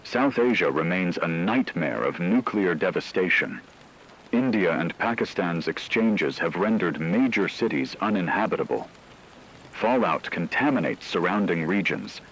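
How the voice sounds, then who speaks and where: A man playing a solemn radio news anchor, reading scripted dramatic war reports in a measured, portentous way. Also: low voice, animated, a bit bright, poor audio. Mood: grave, solemn. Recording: faint rain and radio noise.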